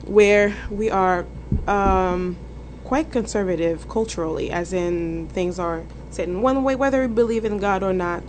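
A woman's voice: medium pitch, unhurried, few pauses, darkish tone. A young woman from Haiti with a Haitian accent, a student talking thoughtfully in a group discussion. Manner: thoughtful, with restarts. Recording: background noise.

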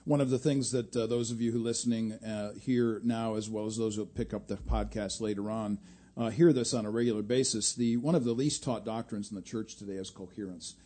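A man's voice: lowish voice, fairly even intonation, a little fast, warm tone. A man hosting a church radio interview, steadily affirming his guest with murmured agreement. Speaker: radio show host.

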